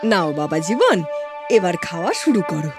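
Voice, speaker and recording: A woman's voice: on the low side, big pitch swings, a little fast. A woman voicing a doting, teasing, bossy middle-aged mother-in-law in a comic cartoon, gushing theatrically. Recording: faint music behind the voice.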